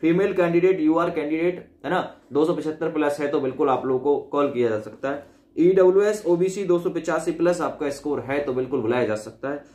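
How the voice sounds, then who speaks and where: A man's voice: fairly high pitch, fairly quick, a little mellow. A man explaining things informally as a video presenter, rephrasing and checking in with his listeners. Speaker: exam-update video presenter. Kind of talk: informal explanatory commentary on recruitment cut-offs. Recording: echoey, reverberant room.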